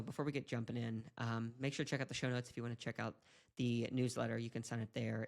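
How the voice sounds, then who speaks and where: A man's voice: medium pitch, vocal fry. A man hosting an interview podcast, chatty and upbeat, with frequent fillers. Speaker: podcast host.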